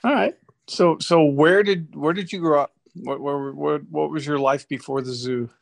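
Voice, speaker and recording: A man's voice: on the high side, fairly even intonation, normal speed, vocal fry. A man in his sixties interviewing a guest casually, often restarting his questions and laughing along. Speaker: podcast host interviewer.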